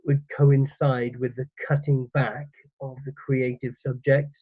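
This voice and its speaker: A man's voice: mid-range voice, level tone, a little slow, husky, dry recording. A man hosting his own channel, asking questions eagerly and stumbling over his words with many fillers. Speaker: YouTube channel host, interviewer. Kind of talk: casual interview hosting. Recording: thin phone-like sound, dry.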